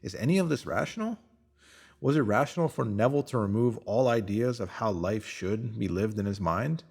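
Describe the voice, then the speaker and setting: A man with a somewhat low-pitched voice reads a scripted motivational text aloud. His delivery is earnest and instructive, leaning on rhetorical questions as he narrates. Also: animated, normal speed, creaky, darkish tone, breathy edge. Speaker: narrator reading a written motivational post.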